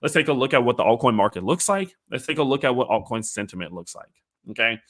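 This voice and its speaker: A young man with a slightly raspy, hoarse voice, hosting a casual livestream of market commentary and often checking in with "okay?". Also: medium pitch, animated, fast, a bit bright. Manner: conversational, hedging, often ends with "okay?". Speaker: crypto channel host.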